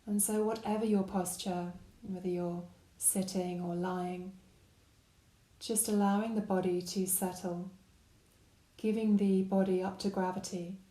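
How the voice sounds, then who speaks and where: A woman guiding a body scan meditation with gentle, calm, unhurried instruction. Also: mid-range voice, roomy sound, low-quality recording. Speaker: meditation guide.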